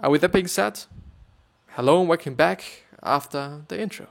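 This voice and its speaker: A man's voice: fairly high pitch, normal speed, gravelly. A man from Austria with a German accent, hosting a podcast in a hesitant, rambling way full of fillers and repeated words before he reads a story aloud. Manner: hesitant, repetitive, many fillers. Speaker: podcast host. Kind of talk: rambling casual monologue and reading aloud.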